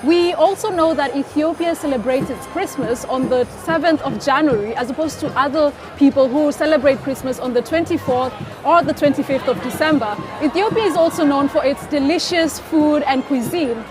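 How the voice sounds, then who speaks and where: A woman's voice: high-pitched, moderate pace, crowd noise. A woman hosting an on-location travel report at an outdoor Christmas market, upbeat and chatty. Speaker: host. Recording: live music behind.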